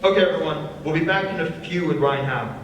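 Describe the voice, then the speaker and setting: A young man playing a brash, loud club announcer in a staged script reading, with a commanding showman manner. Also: a little high, fairly quick, highs cut off, lightly acted. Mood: upbeat announcing. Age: young adult. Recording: echoey hall from far off, faint noise.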